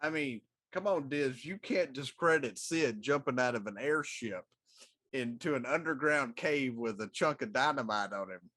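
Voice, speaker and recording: A man's voice: medium pitch, moderate pace, fairly bright tone. A middle-aged man chatting casually as a co-host on a talk show. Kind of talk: casual chat.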